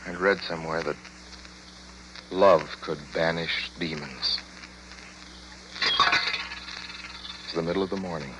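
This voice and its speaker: A man's voice: low-pitched, moderate pace, background noise, close to an everyday voice. Man acting a haunted traveller in 1940s radio drama. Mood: weary, subdued. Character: haunted, frightened young male traveller, increasingly desperate. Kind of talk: 1940s radio drama acting, first-person narration and dialogue. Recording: vintage 1940s radio broadcast. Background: dramatic music.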